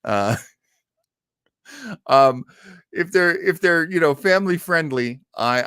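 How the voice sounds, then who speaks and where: A man's voice: mid-range voice, fairly bright tone, no echo, studio quality. A man hosting a show in a casual, rambling, self-deprecating way with chuckles. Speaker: host of a horror radio show livestream. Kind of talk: casual chat.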